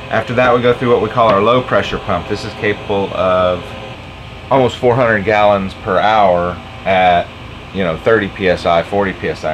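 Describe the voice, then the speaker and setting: Low-voiced man explaining in a noisy workshop. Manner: conversational, explaining step by step with fillers. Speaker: boat owner and DIY tinkerer. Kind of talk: casual workshop walkthrough. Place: cluttered home workshop, indoors.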